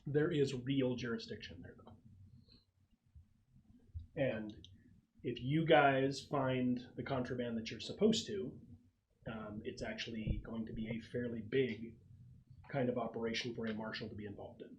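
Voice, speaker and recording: Male game master over clear background noise. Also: mid-range voice, steady tone, normal speed, pauses often, poor audio. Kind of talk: explaining a tabletop roleplaying game to players. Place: room at a gaming table with friends.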